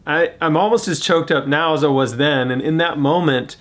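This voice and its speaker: Higher-pitched man, casual vlogger. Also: normal speed, fairly bright tone. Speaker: vlog host. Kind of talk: casual vlog narration to viewers.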